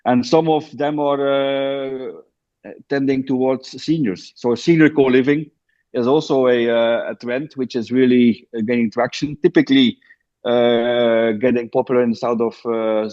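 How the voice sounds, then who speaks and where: A man from Belgium, a guest on a podcast, explains his work slowly with many hesitations and restarts. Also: mid-range voice, steady tone, darkish tone. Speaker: guest, co-living founder. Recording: dull lo-fi remote audio.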